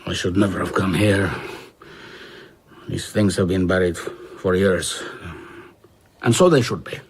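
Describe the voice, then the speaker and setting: A man's voice: deep voice, measured pace. An actor playing a haunted, wistful traveller reflectively recounting his past in a radio drama. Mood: bitter, haunted. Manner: reflective, storytelling.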